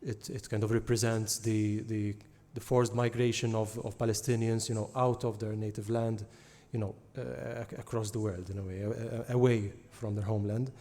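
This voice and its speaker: A man moderating a book launch interview, asking thoughtful, halting questions. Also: on the low side, little pitch movement, mellow.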